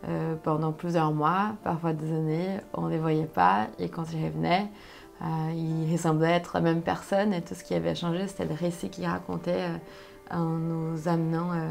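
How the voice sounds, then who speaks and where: A young woman from Brazil, a native Portuguese speaker with a Brazilian accent, telling her story conversationally and haltingly as she searches for words. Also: lowish voice, gravelly, darkish tone. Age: young adult. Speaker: interview guest, solo sailor.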